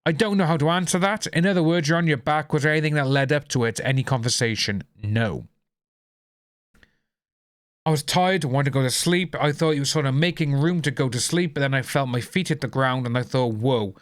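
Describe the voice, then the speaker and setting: Welsh male commentator, mid-pitched voice, calm, measured read of trial testimony with brief asides, close dry sound. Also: no room sound. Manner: steady, low-key reading with apologetic asides. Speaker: YouTube commentator.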